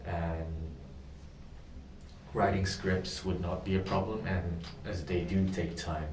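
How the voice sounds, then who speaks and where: A young man from Indonesia answering questions in a practice job interview, pausing often with ums. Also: sits low, flat delivery, darkish tone, reverberant, far from the mic, a little hiss or hum, poor audio. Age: young adult. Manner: hesitant, many pauses and ums.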